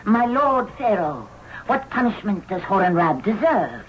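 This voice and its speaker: A woman's voice: medium pitch, very animated, measured pace. Haughty, imperious young queen. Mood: angry, imperious. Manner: haughty, commanding. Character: proud, imperious young queen of ancient Egypt, quick to punish. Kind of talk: 1970s radio drama acting. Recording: dull, noisy old radio broadcast recording.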